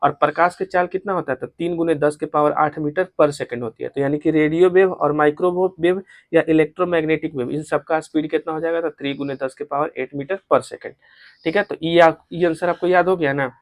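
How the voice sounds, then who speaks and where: A man with a Bihari accent speaks in a fairly high voice, close and dry. He teaches like a coaching tutor, posing a question, answering it and repeating it so it sticks, with English terms mixed in. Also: fairly even intonation, fairly quick, no echo. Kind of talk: tutorial explanation of exam questions.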